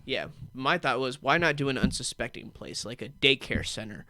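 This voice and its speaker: Bright-voiced man riffing jokes. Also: medium pitch, expressive, moderate pace, dry recording. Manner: jokey, riffing with playful bits. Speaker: comedian guest. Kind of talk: casual comedy chat. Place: radio studio.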